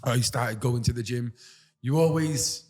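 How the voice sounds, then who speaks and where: A man's voice: medium pitch, unhurried, darkish tone. A male interviewer with an ordinary mid-range voice chats casually with his guest. He rambles and restarts often, padding his questions with fillers. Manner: rambling, filler-heavy, chatty.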